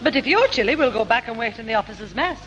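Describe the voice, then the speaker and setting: A woman playing a sharp-tongued mother with an English accent in a 1960s radio comedy. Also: fairly high pitch, lively intonation, quick pace, highs cut off. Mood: chatty, catty. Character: exasperated, sharp-tongued but sociable mother of a schoolboy. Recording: hissy, echoey 1960s radio recording.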